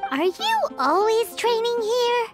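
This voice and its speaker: A woman's voice: very high-pitched, huge pitch swings, takes it slowly, over music, heavily acted. A woman voicing a tiny, cheerful, cheeky girlish fairy companion in a video game. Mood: curious, teasing. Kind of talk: video game character dialogue.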